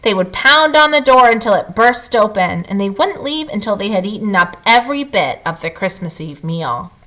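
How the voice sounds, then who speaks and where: A woman reading a children's picture book aloud as a storyteller, with expressive, dramatic emphasis for young listeners. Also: medium pitch, moderate pace, poor audio.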